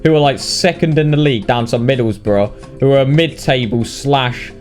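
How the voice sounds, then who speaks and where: A British man giving casual, sweary, mock-indignant commentary on video game highlights. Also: normal speed, faint music behind. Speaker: gaming video narrator.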